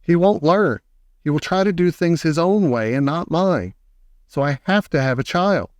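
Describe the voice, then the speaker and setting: Storybook role, man performing: kindly, eccentric old inventor, emphatic and brisk, higher-pitched, recorded dry. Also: no room sound, close to an everyday voice. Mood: earnest, confiding. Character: eccentric, eager old chocolate factory owner, kindly but brisk.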